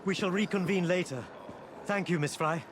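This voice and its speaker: Man acting courteous, formal gentleman, lightly raised pitch. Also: normal speed, background noise, close to an everyday voice. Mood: intent, puzzled. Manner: formal, polite, articulate. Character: courteous Indian gentleman conspirator, earnest and quietly wry. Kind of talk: video game cutscene acting. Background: background music.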